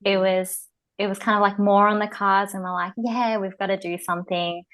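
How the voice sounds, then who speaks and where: A woman's voice: medium pitch, little pitch movement, moderate pace, fairly bright tone. A woman in her twenties with an Australian accent, a podcast guest chatting casually with lots of "um" and "like" and easy giggles. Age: 20s. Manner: chatty, many fillers, giggly. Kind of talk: casual chat.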